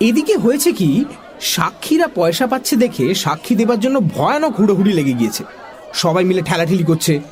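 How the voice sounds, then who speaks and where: A woman's voice: mid-range voice, very animated, fairly quick, a little mellow, soft background music, a faint crowd. A woman narrating a scripted comic story for children as the storyteller.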